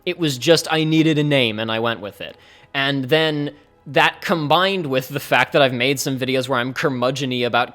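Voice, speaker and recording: A young man with a fairly high-pitched voice, talking to his viewers in a rambling, candid way during a live stream. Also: fairly bright tone. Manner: rambling, candid, speeds up and trails off. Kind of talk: casual live-stream talk to chat.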